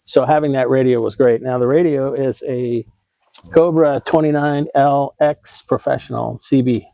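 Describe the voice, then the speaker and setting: A man hosting a how-to vlog, explaining things in a casual, conversational and slightly halting way. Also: mid-range voice, fairly even intonation, creaky, warm tone, rough recording. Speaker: vlog host giving RV tips.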